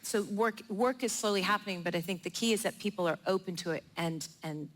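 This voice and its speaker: A woman's voice: mid-range voice, fairly quick, rough recording. Encouraging woman hosting a live panel. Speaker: panel host. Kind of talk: moderating a live panel discussion. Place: live event in a theatre.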